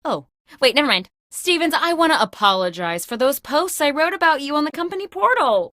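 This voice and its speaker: A woman's voice: high-pitched, animated, a little fast, fairly bright tone, no echo, clean studio sound. A woman playing a scatterbrained, self-absorbed office boss in a comedic video game, breezy, theatrical and bossy. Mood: bossy, oblivious. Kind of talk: scripted comedic video game host lines.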